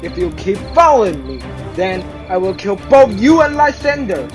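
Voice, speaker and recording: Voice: mid-range voice, big pitch swings, normal speed, music in the background, lightly acted. A performer playing a cold, hot-tempered young man in an amateur radio drama, blunt and harsh. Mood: furious.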